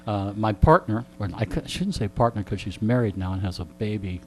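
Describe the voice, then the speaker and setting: A man telling jokey anecdotes in conversation as a radio interviewee, with deadpan punchlines and repeated phrases for effect. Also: fairly low pitch, fairly quick, slight background noise. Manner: jokey, deadpan storytelling with rhetorical repetition. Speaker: radio host being interviewed. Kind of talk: animated comic anecdotes in conversation.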